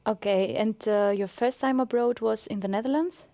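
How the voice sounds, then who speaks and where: A woman's voice: a little high, moderate pace, dark tone, dry recording. A woman hosting an academic interview, asking short friendly questions. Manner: friendly, brief prompting questions. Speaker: interview host.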